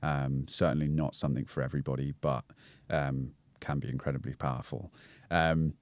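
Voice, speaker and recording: Really deep voiced guy in his 30s, calm and considered, dry studio mic. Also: normal speed, no room sound. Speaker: breathwork guide, podcast guest. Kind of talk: casual explanatory interview talk. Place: small studio lounge set. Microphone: boom-arm studio mic.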